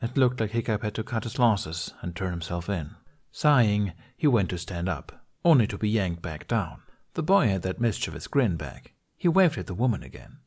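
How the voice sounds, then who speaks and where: A man's voice: fairly low pitch, big pitch swings, normal speed, darkish tone. A man narrating an adventure story in a scripted, audiobook style. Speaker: podfic narrator.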